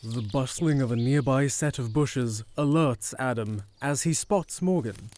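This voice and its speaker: Mid-pitched male story narrator. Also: unhurried, a little mellow, no echo. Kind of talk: scripted audio drama narration.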